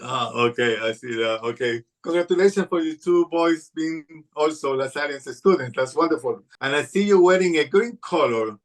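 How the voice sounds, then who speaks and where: A man's voice: on the high side, normal speed, hardly any pauses. A man from Ecuador who speaks English with an Ecuadorian Spanish accent, hosting an interview with careful phrasing and fillers. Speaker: host.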